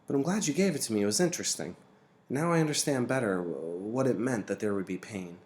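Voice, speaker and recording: A man's voice: mid-range voice, lively intonation, fast talker, a little mellow, pitched above the performer's own voice, close to an everyday voice. A man reading a storybook part as an earnest, polite young boy, eager and brave, with emphatic delivery. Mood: hurt but eager.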